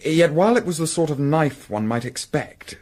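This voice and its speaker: An actor playing a courteous, analytical Victorian gentleman chemist, English, speaking formally and precisely in a period radio drama. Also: on the high side, expressive. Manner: precise, formal, measured, politely probing.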